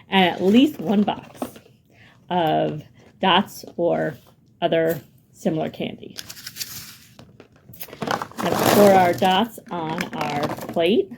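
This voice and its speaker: Slow-talking woman leading a kids' craft. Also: fairly low pitch, a little mellow, slight background noise. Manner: slow, patient, encouraging. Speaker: children's librarian. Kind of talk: craft activity instruction.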